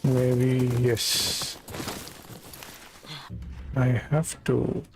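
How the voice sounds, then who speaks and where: A man's voice: mid-range voice, little pitch movement, drawn-out words, vocal fry, background noise. A man giving casual live gameplay commentary, thinking aloud in short murmured phrases into a desk microphone. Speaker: live streamer playing a video game. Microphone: USB desk microphone.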